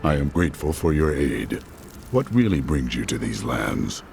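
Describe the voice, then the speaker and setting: A deep, grim demon hunter. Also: male voice, very animated, normal speed, creaky, exaggerated voice. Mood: stern. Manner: terse, cold and determined. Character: grim male demon hunter, stern and resolute. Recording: game audio with loud music.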